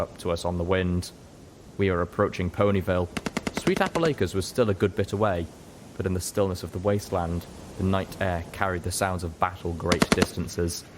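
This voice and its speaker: A man with a very low voice narrates a first-person tale. His reading is scripted and dramatic, like an audiobook. There is clear noise behind him, including gunfire effects. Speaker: narrator, story reader.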